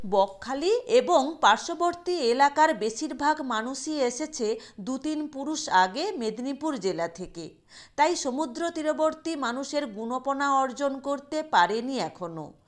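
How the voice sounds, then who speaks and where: A woman narrating a literary short story in a deliberate, expressive storytelling manner. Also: high voice.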